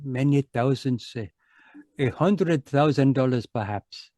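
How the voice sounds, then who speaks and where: A man playing a solemn, crafty mystic in a radio mystery, speaking in a formal, measured way. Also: medium pitch, dark tone, dry recording, lightly acted. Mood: solemn, regretful. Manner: formal, measured, avoids contractions. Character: solemn, crafty yogi mystic seeking money. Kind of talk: old-time radio mystery acting. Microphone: close home microphone on a video call.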